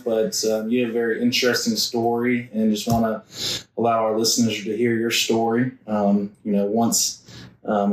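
A man hosting a casual podcast interview, flat and relaxed, with lots of ums. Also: fairly low pitch. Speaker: podcast host. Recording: echoey room, mic held at a distance.

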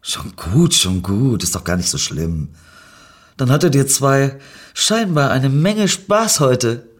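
A man voicing a kindly, easygoing husband who speaks soothingly, with a husky voice. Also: mid-range voice, very animated, slow, voice pushed up. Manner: soothing and gentle.

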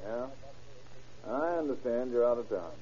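A man's voice: mid-range voice, animated, moderate pace, dark tone, breathy edge. An American man playing a wry, skeptical police detective in a radio crime drama. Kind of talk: old-time radio crime drama acting. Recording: muffled vintage radio broadcast.

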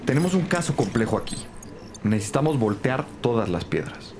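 An actor playing an earnest, serious police investigator in an audio drama. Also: male voice, medium pitch, very animated, a little fast, close to an everyday voice. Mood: serious, determined. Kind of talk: Spanish-language audio drama acting. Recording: clear background noise.

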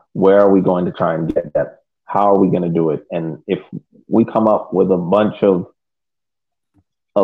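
A man co-hosting a sports podcast in casual discussion, heard over a thin, band-limited connection. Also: low voice. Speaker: podcast co-host. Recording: tinny phone-like band-limited line.

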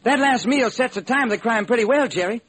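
Casting: dogged American homicide cop, very high husky voice, eager, animated, classic radio drama. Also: male voice, quick pace, full character voice. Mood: eager, puzzled. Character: eager, dogged male homicide police lieutenant. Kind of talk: old-time radio detective drama acting.

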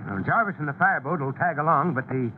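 An actor playing a seasoned, steady fire chief with a measured, businesslike manner, in old-time radio drama style. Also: male voice, fairly high pitch, close to an everyday voice. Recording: noisy, tinny old radio broadcast.

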